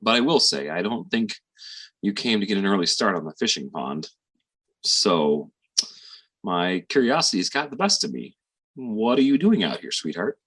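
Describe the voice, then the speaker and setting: Male actor as gentle middle-aged dad, husky voice, lively pitch, fond and coaxing, stage drama. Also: moderate pace, full character voice. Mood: teasing, fond. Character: gentle, devoted Southern father, small-town doctor. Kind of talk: stage play dialogue, audio performance.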